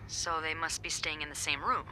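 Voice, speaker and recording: An actress playing a wry hotel coworker, speaking in a dry, knowing, teasing way. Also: female voice, deep voice, fairly even intonation, moderate pace, pushed and bright, slight background noise, rough recording. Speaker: hotel staff.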